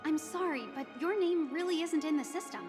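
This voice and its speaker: A woman's voice: sits high, fairly quick, natural voice. A woman playing a courteous, formal, apologetic young hotel receptionist in a video game. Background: game music.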